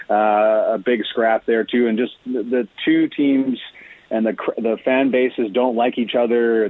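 A man's voice: fairly low pitch, dry recording. A man talking through hockey analysis conversationally as a guest reporter, often restarting his phrases as he goes. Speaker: hockey team reporter and podcaster, radio guest. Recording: dull lo-fi radio call-in audio.

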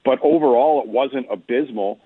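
A man's voice: mid-range voice, moderate pace, husky, dry recording. Male hockey analyst on a phone-like line. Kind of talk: conversational sports analysis. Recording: tinny phone-like radio line.

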